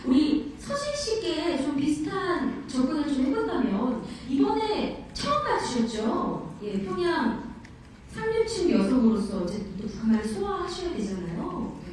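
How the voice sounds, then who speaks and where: Brief: lively female moderator, mellow tone, heard from afar in a reverberant ballroom. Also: high voice, normal speed, a little hiss or hum. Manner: lively, bright-toned questioning. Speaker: press event host. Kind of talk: press conference moderating. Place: hotel ballroom. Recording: echoey ballroom, distant mic.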